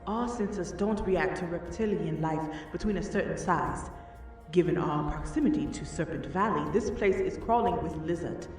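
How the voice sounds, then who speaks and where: An actor playing a proud, protective guide in a comic dub, with a formal way of speaking. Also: male voice, on the high side, normal speed, natural voice. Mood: matter-of-fact. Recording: very echoey, distant, faint music.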